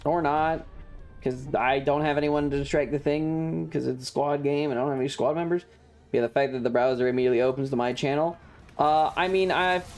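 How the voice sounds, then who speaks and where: A man's voice: fairly high pitch, a little mellow, a little hiss or hum. A man casually commentating a video game on a livestream and chatting with viewers, sweary and dramatically exasperated. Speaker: streamer. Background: video game music.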